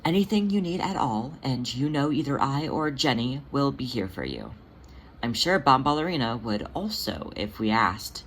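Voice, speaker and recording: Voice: medium pitch, big pitch swings, moderate pace, fairly bright tone, a little hiss or hum, low-quality recording. A narrator voicing a motherly female cat who is a healer, speaking in a patient, soothing and reassuring way.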